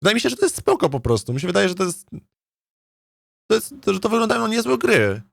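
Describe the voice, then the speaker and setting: A man's voice: high voice, big pitch swings, fast, gravelly, a bit bright, no echo, clean studio sound. A man chatting casually as a game streamer, thinking aloud in a hesitant, rambling way and often repeating his words. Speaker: streamer, let's play host.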